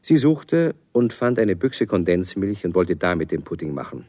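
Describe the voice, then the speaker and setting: A male narrator with a lowish voice tells a children's story. His delivery is scripted and expressive, with plenty of melody, recorded close and dry. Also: moderate pace, no room sound. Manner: expressive, clearly phrased.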